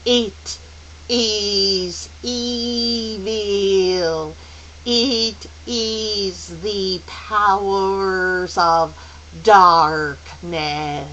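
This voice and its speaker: Brief: female reader as portentous supernatural being for a storybook, extremely slow, ringing, solemn delivery. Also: medium pitch, steady tone, a bit bright, highs cut off. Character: grand, solemn supernatural being speaking slowly. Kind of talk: audiobook character voice. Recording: faint hiss.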